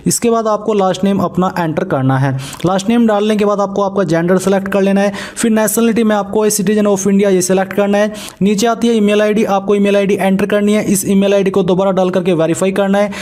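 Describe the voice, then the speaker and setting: A man's voice: high voice, fairly even intonation, fairly quick, a little mellow. A man presents an online tutorial. He explains each step in an instructional manner, dropping English terms into his narration.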